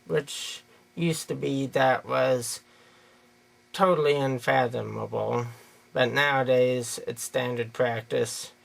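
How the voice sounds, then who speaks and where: A man's voice: mid-range voice, creaky, a bit bright. An American man giving an unscripted opinion monologue in a rambling, folksy, plain-spoken way. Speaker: video creator giving an opinion.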